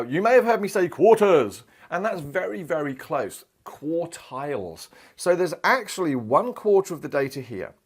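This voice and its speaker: Lively, chatty male maths teacher. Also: on the high side, breathy edge. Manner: lively, chatty, acts out quoted voices. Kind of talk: recorded maths lesson. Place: indoor studio in front of a screen.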